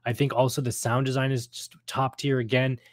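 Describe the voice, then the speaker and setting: Halting man chatting casually, close dry sound. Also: mid-range voice, flat delivery, no echo. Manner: hesitant, halting, searching for words. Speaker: host discussing a radio drama episode. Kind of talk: casual chat.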